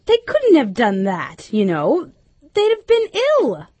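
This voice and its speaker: A woman's voice: a little high, huge pitch swings, slow pace, warm tone, heavily acted. A woman voicing a polite, inquisitive, prim young girl in an acted storybook style. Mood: indignant. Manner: earnest, questioning, prim.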